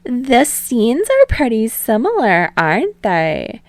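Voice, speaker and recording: A woman reading a fan story aloud and voicing a bubbly, teasing female talk show host with a loud, bright, smug manner. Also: fairly high pitch, wildly swooping pitch, slow pace, no room sound, clean studio sound, pitched above the performer's own voice, exaggerated voice. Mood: pointed.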